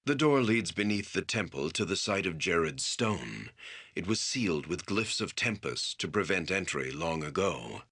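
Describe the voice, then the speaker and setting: An actor playing a grave, wounded priest of a war god in a fantasy video game, husky and strained, speaking solemnly with halting emphasis. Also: male voice, deep voice, bright, forceful tone, full character voice. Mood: solemn resolve.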